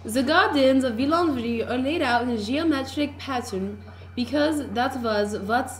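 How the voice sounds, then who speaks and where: A woman's voice: high voice, measured pace, slight background noise. A woman playing an informative tour guide at a French chateau in a scripted puppet show. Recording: echoey room.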